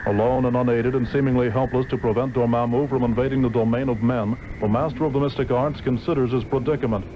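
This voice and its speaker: A man's voice: medium pitch, fairly even intonation, fast talker, highs cut off. A man narrating a 1960s radio serial from a script in a dramatic, formal manner. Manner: grandiose, formal. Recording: very noisy old radio recording.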